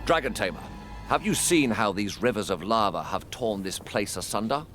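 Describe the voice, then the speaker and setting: A man's voice: fairly low pitch, expressive, normal speed, natural voice. Man as commanding war leader, wide-swinging voice. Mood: grave, resolute. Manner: commanding, declamatory. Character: commanding, resolute male war leader addressing soldiers. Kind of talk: dramatic video game acting. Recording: music behind the voice.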